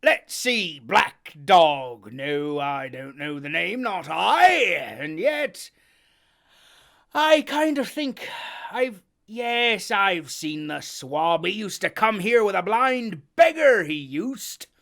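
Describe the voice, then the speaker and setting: A reader voicing a cunning, hearty one-legged old pirate innkeeper who talks loudly in sailor's slang. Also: medium pitch, big pitch swings, slow pace, voice pushed up, exaggerated voice. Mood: blustering. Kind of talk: audiobook character voice.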